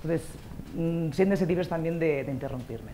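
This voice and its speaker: A woman's voice: low-pitched, moderate pace. Woman lecturing informally over background noise. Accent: Panamanian-influenced Spanish. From Catalonia, Spain. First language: Catalan. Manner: animated, conversational, frequent check-in tags. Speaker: guest lecturer, academic anthropologist. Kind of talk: informal spoken academic lecture. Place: lecture hall stage. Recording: clear background noise in auditorium.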